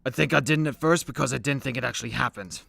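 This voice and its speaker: Casting: male actor as washed-up immortal superhero, self-deprecating and hesitant, fry in the voice, dry studio radio-comedy sound. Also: mid-range voice, fast talker, a bit bright, no echo. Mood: sheepish, apologetic. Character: weary, hard-drinking immortal Greek superhero, awkward and self-deprecating.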